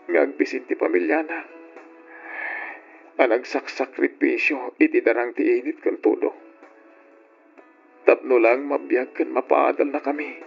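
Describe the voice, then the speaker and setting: A man's voice: medium pitch, creaky, close to an everyday voice. A man playing a grateful son who speaks earnestly of his farmer father, in a radio drama. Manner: earnest and emotional. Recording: dull lo-fi audio.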